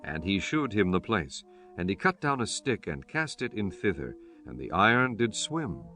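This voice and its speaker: A man narrating dramatized scripture in a solemn, measured storytelling manner. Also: fairly low pitch, big pitch swings, pushed and bright. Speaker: audiobook narrator. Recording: music bed under the voice. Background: music.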